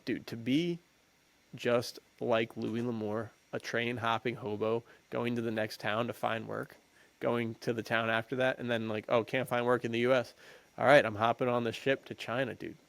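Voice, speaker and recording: A man's voice: mid-range voice, level tone, normal speed, gravelly, fairly bright tone, rough recording. A young American man co-hosting a podcast, telling stories in a slangy, jokey, casual way. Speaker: podcast co-host. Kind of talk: casual chat.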